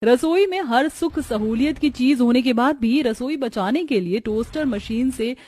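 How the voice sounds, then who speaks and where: A woman's voice: on the high side, animated, unhurried, darkish tone, faint music behind. A woman narrating a scripted story as the storyteller.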